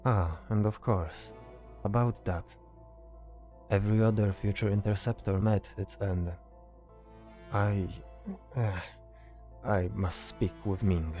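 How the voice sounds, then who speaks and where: A man voicing a stoic, cryptic mage in a fantasy audio drama, calm and assured, with formal, deliberate phrasing. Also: on the low side, faint music behind. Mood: hesitant.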